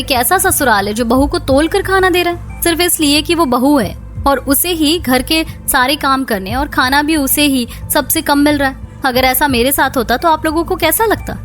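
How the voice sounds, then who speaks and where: A woman's voice: high voice, normal speed, hardly any pauses, a bit bright. A woman voicing the women of a household in a Hindi moral-story cartoon, over music. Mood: reproachful. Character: women of a quarrelling household: daughter-in-law, mother-in-law, sister-in-law. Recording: music bed behind the voice.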